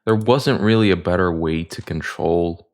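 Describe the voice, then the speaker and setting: Low-voiced man, joking and crude. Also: moderate pace, darkish tone. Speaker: podcast co-host. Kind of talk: casual gaming podcast chat.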